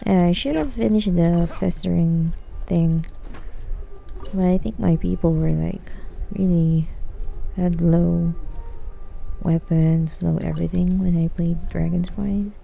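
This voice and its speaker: A woman's voice: fairly low pitch, fairly even intonation, takes it slowly, gravelly, pauses often, mellow, soft background music. A woman streaming a video game live, giving casual commentary with wry, muttered asides. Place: live stream setup, game sound behind.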